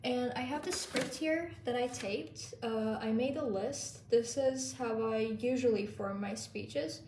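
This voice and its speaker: A woman's voice: on the high side, unhurried, a little mellow, echoey room, far from the mic, slight background noise. A young woman, chatting casually in her home kitchen as she bakes, with many fillers. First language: Turkish. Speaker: vlogger. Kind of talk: casual baking vlog narration.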